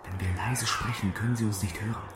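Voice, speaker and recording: A man's voice: on the low side, steady tone, a little fast, heavy background noise, natural voice. A man playing a cocky, flirtatious programmer in a German radio drama, with a teasing, worldly-wise manner. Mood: tense, warning. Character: cocky, flirtatious male programmer stranded in a game desert.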